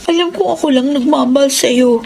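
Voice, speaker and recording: A woman's voice: sits high, brisk. A woman playing an anxious, guilty young woman, pleading and apologetic in a Filipino radio drama. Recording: clear background noise and ambience.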